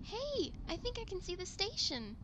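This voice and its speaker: High-voiced eager little filly played by a woman. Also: big pitch swings, moderate pace, noisy background. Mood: eager, curious. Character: Nix, eager little girl pony filly. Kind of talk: amateur audio drama dialogue.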